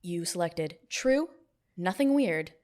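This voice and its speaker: A young woman hosting a podcast, running a mock job interview in a deadpan, playfully bossy way. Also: lowish voice, slow. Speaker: podcast host. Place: new studio, not fully set up.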